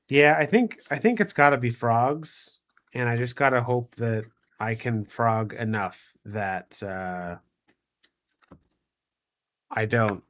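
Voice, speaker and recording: A man chatting casually on a live game stream, hesitantly thinking out loud with dry playfulness. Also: mid-range voice. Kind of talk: casual live-stream chat while building a card deck.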